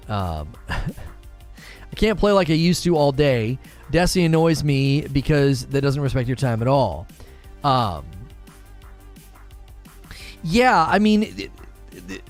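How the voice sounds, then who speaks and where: Relaxed forty-year-old man chatting. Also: fairly high pitch. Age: 40s. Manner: relaxed and conversational, musing aloud with fillers. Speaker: gaming channel host reading live chat. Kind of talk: casual livestream chat.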